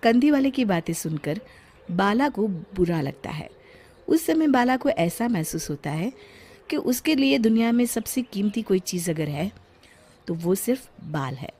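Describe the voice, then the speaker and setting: Woman storyteller doing scripted cartoon narration, medium pitched voice. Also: expressive, unhurried, darkish tone, husky.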